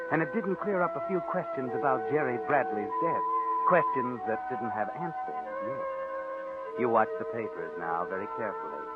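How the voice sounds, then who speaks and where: Loud music runs behind a thin, phone-like vintage broadcast. A man plays a sardonic, ominous thriller narrator with a mid-pitched voice, insinuating as he tells the tale. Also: natural voice. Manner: insinuating, addressing the character as you. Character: sardonic, ominous all-knowing mystery narrator. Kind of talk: old-time radio thriller narration. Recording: tinny old radio recording, loud music.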